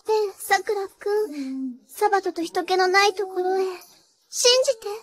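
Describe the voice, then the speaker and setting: A woman's voice: extremely high pitch, expressive, moderate pace. A woman playing a polite, clumsy young angel assassin in an anime, with a childlike, cutesy voice and an earnest, easily flustered way of talking. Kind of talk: Japanese anime character acting.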